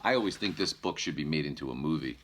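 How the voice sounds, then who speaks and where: Deep voiced guy doing kids storytime, super animated and bright, chats to the kids. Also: fast, a little hiss or hum. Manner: playful, addresses young listeners directly. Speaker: news anchor reading a children's story. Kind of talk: animated storytime read-aloud with asides to children.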